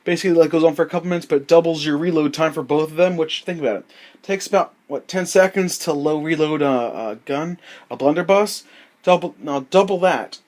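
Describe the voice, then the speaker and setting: Young guy, a little high-voiced, sick, recording on a handheld device; halting, rambling delivery with lots of fillers. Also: darkish tone. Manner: halting, many fillers and self-corrections. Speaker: gaming vlogger. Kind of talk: rambling unscripted monologue. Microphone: handheld device mic.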